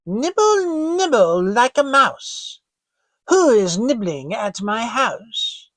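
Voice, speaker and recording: A man's voice: extremely high pitch, sing-song, takes it slowly, a bit bright, no room sound, voice pushed up, heavily acted. A man voicing a wicked old witch woman in a storybook, thin-voiced, spiteful and falsely sweet. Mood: eerie calling. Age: older.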